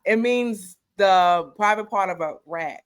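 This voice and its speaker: A woman's voice: medium pitch, slow pace, clear, resonant tone. Podcast co-host, woman, mid voice, loose and playful, laughs often. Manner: playful, laughs easily, self-described messy. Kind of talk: casual chat.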